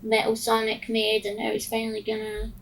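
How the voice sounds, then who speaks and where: A woman with a fairly high voice and noticeable vocal fry chats casually as a podcast host. She is hesitant and filler-heavy, over a faint hum. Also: monotone, a little fast. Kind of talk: casual chat.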